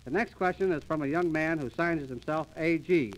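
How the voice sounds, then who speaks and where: A man's voice: on the high side, moderate pace, poor audio. A man presenting a scripted 1930s radio comedy show in a formal, mock-grand manner, on an old transcription disc. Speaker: comedy announcer and master of ceremonies.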